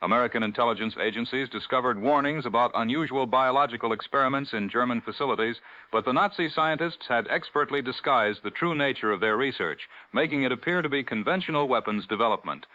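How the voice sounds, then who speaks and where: A man's voice: medium pitch, fast, bright, forceful tone, no echo, close to an everyday voice. A man playing a grave 1940s radio news anchor with a formal, dramatic announcer delivery. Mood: grave, urgent. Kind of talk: 1940s radio newscast acting. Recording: dull lo-fi vintage radio broadcast.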